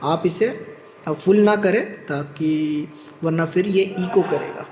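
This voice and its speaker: Male tutorial presenter, high voice, methodical and repetitive hands-on explanation with English terms mixed in, faint noise behind. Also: takes it slowly, a little mellow. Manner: step-by-step, repeats points, mixes English terms into speech. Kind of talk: practical hands-on demonstration.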